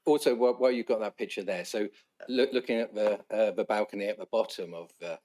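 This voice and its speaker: A man chairing a council planning committee, polite but halting, often restarting words. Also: fairly low pitch, darkish tone.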